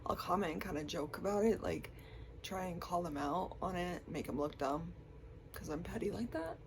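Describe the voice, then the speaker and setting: A woman's voice: on the low side, expressive, moderate pace, a little hiss or hum. A young woman with a stuffy, congested voice from a cold, chatting casually to her camera with lots of likes and ums. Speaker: vlogger.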